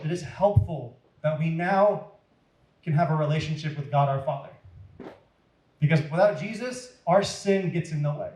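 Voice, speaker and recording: American male children's storyteller, fairly high voice, expressive and simple delivery, noticeably echoey room.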